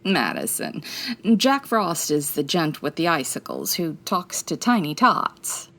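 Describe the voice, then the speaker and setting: A woman's voice: sits low, sing-song, unhurried, fairly bright tone, full character voice. A woman playing a classy, wry female private eye in a 1940s radio detective style, breezy and full of quips. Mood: wry, dismissive.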